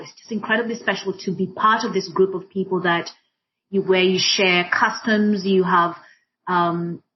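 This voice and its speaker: A woman with a Kenyan accent, whose first language is Swahili, speaks as a guest in a reflective discussion. Her mid-pitched delivery is deliberate, often rephrasing for emphasis. The audio is a little dull and lo-fi. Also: a little hiss or hum. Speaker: panel guest, diversity and inclusion practitioner. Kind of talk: reflective personal account in a group discussion.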